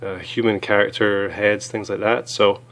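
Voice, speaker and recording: A hoarse man with a cold, chatting casually as he reviews small gear items, with many fillers. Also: on the low side, steady tone, moderate pace, a bit bright.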